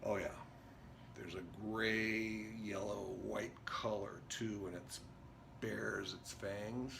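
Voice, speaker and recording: A man performing a dramatic stage monologue as an intense, rambling drifter, speaking in emphatic broken phrases with pauses. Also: lowish voice, fairly even intonation, vocal fry, fairly bright tone, heavily acted. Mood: halting, descriptive. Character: Jerry, intense rambling drifter confiding a story. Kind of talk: theatrical dramatic monologue reading. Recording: noticeable background noise.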